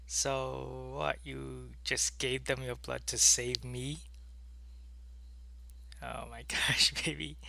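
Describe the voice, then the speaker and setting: A man playing a devoted alien soldier in an ASMR audio roleplay, with a gravelly, earnest and deliberate delivery. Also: mid-range voice, flat delivery, pushed and bright, slight background noise, heavily acted. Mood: tender, grateful. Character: devoted alien soldier, gruff in battle, tender with his lover.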